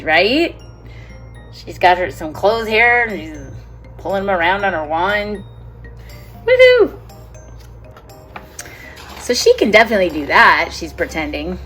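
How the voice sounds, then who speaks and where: A woman's voice: medium pitch, sing-song, measured pace, long pauses, fairly bright tone, faint music behind. A woman teacher reading a picture book aloud to children, chatting and asking them questions about the pages. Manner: chatty, asks the children questions and laughs along.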